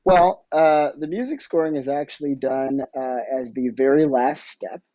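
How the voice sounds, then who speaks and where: A man speaking as a podcast guest, explaining casually in a halting, hesitant way. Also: fairly high pitch, mellow, no echo, muffled recording. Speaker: podcast guest, audio drama producer and musician.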